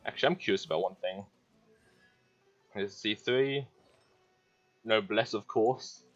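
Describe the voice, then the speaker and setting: A man's voice: lowish voice, unhurried, dull audio, faint music behind. A man giving casual let's play commentary as a gaming YouTuber.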